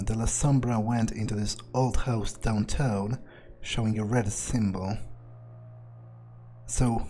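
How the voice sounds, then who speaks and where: A man plays a scornful, self-assured vampire in a video game, speaking his thoughts aloud. His voice is on the low side and very mellow, with musing pauses and muttered asides. Some noise sits behind the recording. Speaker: gameplay video player. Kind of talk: in-character game playthrough, thinking aloud. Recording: noticeable background noise.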